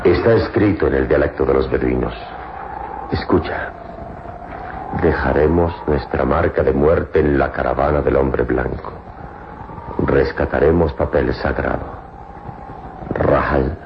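An actor playing a grave, authoritative heroic adventurer in a theatrical radio serial. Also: male voice, very deep voice, measured pace, creaky, long pauses, background noise, full character voice. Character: heroic commanding man, mysterious adventurer, calm and resolute. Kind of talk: radio serial acting in Spanish. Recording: muffled old radio drama, loud music.

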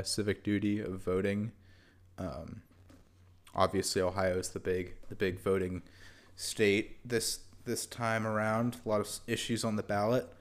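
A young man co-hosting a podcast in relaxed, rambling casual chat with halting restarts. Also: on the low side. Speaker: podcast co-host.

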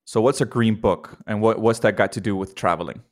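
A man interviewing casually as a podcast host, halting and repeating words as he forms his questions. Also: lowish voice, a little mellow.

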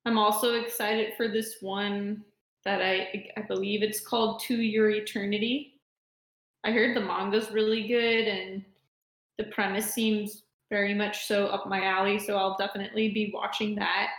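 A woman co-hosting a podcast, chatting casually in a relaxed, easygoing way with hedged opinions. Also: mid-range voice, moderate pace. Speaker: podcast co-host. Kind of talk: casual chat. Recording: echoey room.

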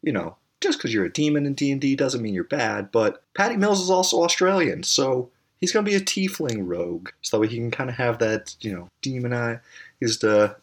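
A man's voice: medium pitch, lively intonation, brisk. A man hosting a podcast, talking in a rambling, enthusiastic monologue. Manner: rambling, enthusiastic, many fillers. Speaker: podcast host.

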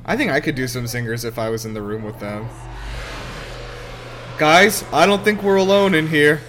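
A man's voice: on the high side, moderate pace, a bit bright. A man giving casual, slangy joking commentary as a movie reaction host. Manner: slangy, wisecracking.

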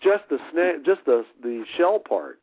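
A man guesting on a radio show, talking casually and haltingly with many fillers. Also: a little high, sing-song, husky, no room sound. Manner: halting, warm-hearted, many fillers and restarts. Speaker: musician guest. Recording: dry, tinny phone line.

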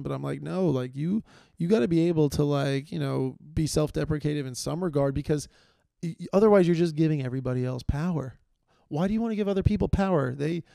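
Young man, comedy podcast host, gravelly, dark mellow tone, dry close mic, rambling casual chat. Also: on the high side, no room sound. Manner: rambling, self-deprecating, many fillers and restarts. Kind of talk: casual solo comedy monologue. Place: small room, filmed on video.

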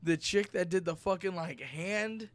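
A man's voice: sits high, measured pace, no room sound. A man co-hosting a podcast, teasing his friends with crude banter in casual chat. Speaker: podcast co-host.

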